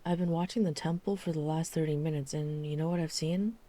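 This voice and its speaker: A woman playing a guarded, weary vampire hunter who is herself a vampire, speaking in a measured, serious way in an ASMR roleplay. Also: sits low, steady tone, dark tone, lightly acted. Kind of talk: ASMR roleplay audio drama acting.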